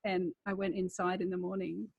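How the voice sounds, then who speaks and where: Husky woman, candid and wry. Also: lowish voice, level tone, normal speed, no echo, highs cut off. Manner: wry, self-deprecating. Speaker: writer in conversation. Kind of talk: casual, candid anecdotal chat.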